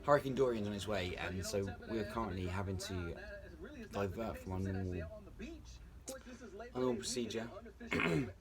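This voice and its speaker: A man's voice: fairly low pitch, expressive, normal speed, long pauses, over a noisy crowd. A man working as cruise ship crew, talking casually to camera and rambling through restarts and fillers. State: rough voice just after a nap. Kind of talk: casual vlog talk to camera.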